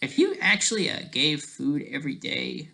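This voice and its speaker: A person giving rambling off-the-cuff commentary in a halting, sarcastic way, restarting mid-thought and often checking with a questioning tag. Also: medium pitch, expressive, gravelly, pushed and bright.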